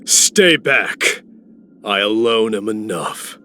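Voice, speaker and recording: A man's voice: lowish voice, lively intonation, slow, sharp tone, full character voice. A man playing a proud, fiery warrior in a video game, speaking in a stately, declamatory way. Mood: proud, commanding. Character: proud, fiery male warrior, grandly heroic. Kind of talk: dramatic game voice acting.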